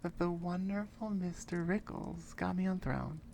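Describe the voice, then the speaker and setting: A woman's voice: lowish voice, moderate pace, creaky, mellow, slight background noise. A woman creator chatting casually and effusively to her viewers, close on the microphone. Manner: effusive, enthusiastic. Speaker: ASMR creator. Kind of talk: casual thank-you chat to viewers. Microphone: close microphone on an arm.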